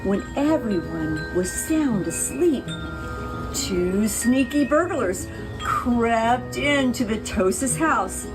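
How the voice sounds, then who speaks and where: A woman reading a children's picture book aloud in an expressive, deliberate way, with playful asides to her listeners. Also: fairly high pitch, low-quality recording. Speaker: picture book reader for a pet rescue show. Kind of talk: animated children's storybook read-aloud.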